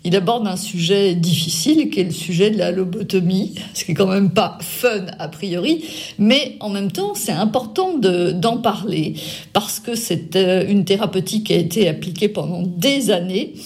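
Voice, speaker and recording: A woman's voice: medium pitch, animated, normal speed, darkish tone. Older woman doing a book interview, lots of euhs, restarts herself. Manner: hesitant, many fillers and restarts.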